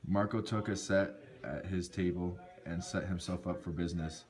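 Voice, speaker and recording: A man's voice: sits low, fairly even intonation, moderate pace, darkish tone. A man narrating a scripted folk tale, reading aloud and occasionally stumbling over words.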